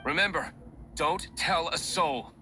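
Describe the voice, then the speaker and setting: A man's voice: a little high, unhurried, pushed and bright, a little hiss or hum. An actor playing a brooding, aloof young nobleman vigilante in a video game, formal and dryly disdainful. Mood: grave. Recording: game ambience behind the voice.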